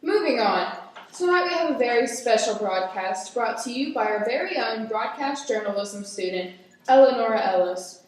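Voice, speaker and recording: A woman's voice: fairly high pitch, animated, normal speed, natural voice. Woman playing chirpy student anchor, echoey room. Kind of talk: mock student broadcast in a radio play. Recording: very echoey room, faint noise.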